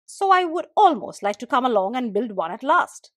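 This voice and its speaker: Woman playing a friendly storybook hare, keen and earnest, emphatic, animated pitch, slightly husky, close dry sound. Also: normal speed, dry recording, heavily acted. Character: male hare, friendly, keen, proud carpenter.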